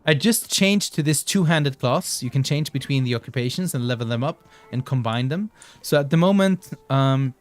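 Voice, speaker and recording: A man's voice: mid-range voice, lively intonation, normal speed. A man from southern Sweden, streaming a game and chatting casually with viewers. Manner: chatty, restarts and fillers, reads chat aloud.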